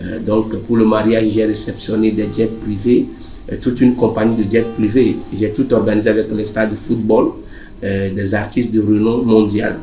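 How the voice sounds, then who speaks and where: Low, flat-voiced boastful billionaire groom played by a man. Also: lightly acted. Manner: hesitant, halting boasting full of pauses. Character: boastful billionaire groom, pompous and self-satisfied. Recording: roomy echo, music underneath.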